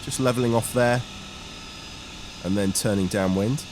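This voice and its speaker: A man talks through a flight for a vlog in a slightly low voice. His delivery is informal and keen, like a student pilot sharing the experience. Aircraft engine noise sits behind his words. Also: normal speed. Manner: informal, enthusiastic.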